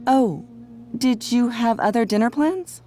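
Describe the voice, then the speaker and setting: A woman's voice: on the high side, measured pace, mellow, faint music behind, close to an everyday voice. A woman playing a prim, gracious middle-aged mother in a video game, polite and theatrical with a pointed edge. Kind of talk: video game cutscene acting.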